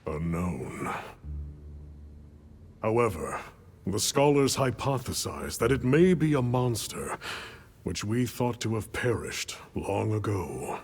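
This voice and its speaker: An actor playing a composed guild superior in a game cutscene, speaking formally and with measured deliberation. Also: male voice, lowish voice, sing-song, a little mellow, slight background noise, exaggerated voice. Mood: grave, measured. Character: composed, authoritative male guild superior giving orders. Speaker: guild official briefing a hunter. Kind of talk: cinematic game cutscene briefing.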